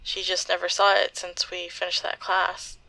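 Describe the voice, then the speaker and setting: A woman playing a grieving, bitter young woman in a halting, intimate audio drama monologue. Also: fairly low pitch, completely flat delivery, gravelly, sharp tone, dry recording, poor audio. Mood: sad, subdued. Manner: halting, hesitant, slow. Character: grieving young woman, bitter, leaving voicemail for lost close friend.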